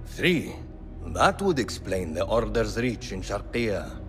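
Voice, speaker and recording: An actor playing a wry, cautioning ally in a dramatic video game. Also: male voice, on the low side, sing-song, slow, fairly bright tone, faint music behind, heavily acted. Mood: wry, stern.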